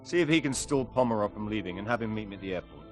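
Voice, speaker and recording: An actor playing a cool British secret agent in a video game, terse, dry-witted and commanding. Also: male voice, fairly low pitch, lively intonation, racing, soft background music, natural voice. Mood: businesslike. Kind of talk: video game cutscene acting.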